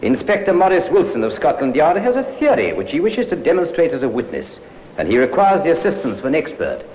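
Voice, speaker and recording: A stiff formal prosecutor, high lively voice, echoey old radio courtroom. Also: male voice, fairly quick, dull audio, slight background noise. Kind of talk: 1950s radio drama acting.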